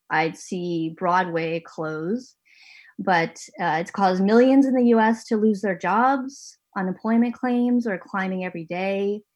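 A woman hosting an interview podcast from her home basement, talking earnestly with a few restarts. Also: mid-range voice. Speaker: podcast host and managing editor.

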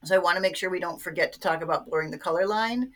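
A woman with a somewhat low voice hosts a podcast interview. She steers the conversation with engaged questions and prompts for her guest. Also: racing, a bit bright. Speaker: podcast host.

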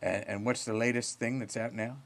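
A man's voice: mid-range voice, level tone, fairly quick. A man hosting a chatty, comic podcast interview in a folksy, rambling way, often repeating words as he feels for the next one. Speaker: podcast host.

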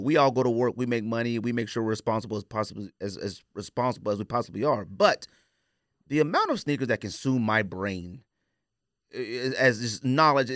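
A man's voice: mid-range voice, dry recording, clean studio sound. A man chatting casually as a podcast co-host, rambling candidly and using plenty of slang.

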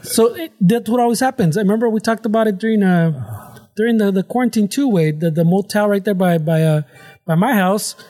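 A man's voice: high voice, a little fast, mellow. A man co-hosting a podcast in casual chat, swearing often and dropping Mexican Spanish slang into his talk. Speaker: podcast co-host.

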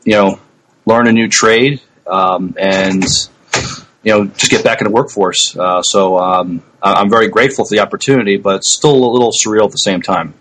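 Low-voiced middle-aged man, halting podcast chat. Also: fairly bright tone, low-quality recording. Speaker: podcast co-host.

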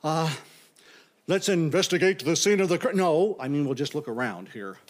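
An actor playing a nervous, imaginative office temp in a comedic audio drama, stammering and talking to himself. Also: male voice, a little high, animated, normal speed, slight background noise, lightly acted. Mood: uneasy, self-soothing. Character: jittery, imaginative male office temp, easily unnerved.

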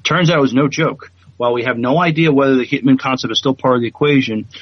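A man co-hosts a podcast and reads out news in a hesitant way, full of fillers. His voice sits at a middle pitch. The sound is dry and a little dull, like a lo-fi mic. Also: fast talker, no room sound. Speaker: podcast co-host. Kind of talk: casual chat and news reading.

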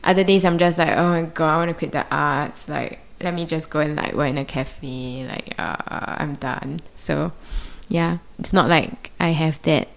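A woman with a low voice and Mandarin as her first language, thinking aloud as a guest. She speaks thoughtfully and hesitantly, pausing often and reaching for the right words. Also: steady tone, gravelly. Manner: thoughtful, searching, many pauses and fillers. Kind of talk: reflective conversation.

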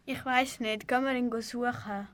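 In an amateur radio play, a young female performer voices a worried but practical girl. She has a high voice and a Swiss German accent. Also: fairly even intonation, moderate pace, a bit bright, lightly acted.

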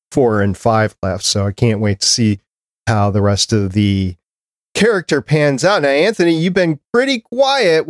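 A man's voice: mid-range voice, no room sound. Male podcast host, dry close sound. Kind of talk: casual panel podcast chat.

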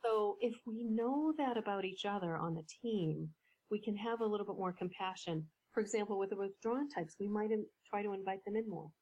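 A woman coach, a podcast guest, explaining ideas in a measured way with some fillers. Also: mid-range voice, a little mellow, lo-fi sound. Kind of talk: podcast interview.

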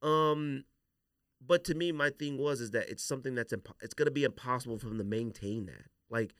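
A man hosting a podcast, talking casually with slangy, opinionated, animated delivery. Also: medium pitch, brisk, dry recording, clean studio sound. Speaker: podcast host. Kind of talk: casual sneaker podcast debate.

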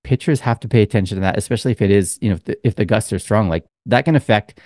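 A man's voice: fairly low pitch, very fast, a little mellow, no room sound, clean studio sound. A man co-hosting a podcast, telling a long, rambling story in casual chat with lots of fillers. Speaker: podcast co-host.

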